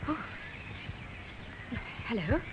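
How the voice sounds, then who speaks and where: An actress playing a defiant, reclusive young woman artist in a 1950s radio drama. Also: extremely slow. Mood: guarded. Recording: muffled, noisy old 1950s radio recording.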